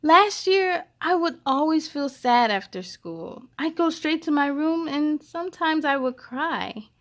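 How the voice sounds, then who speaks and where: A woman's voice: high voice, animated, unhurried. A woman reading as a shy, earnest young schoolgirl in a storybook, confiding in simple phrases. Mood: nervous, sad. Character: shy, nervous, earnest schoolgirl narrating her storybook life.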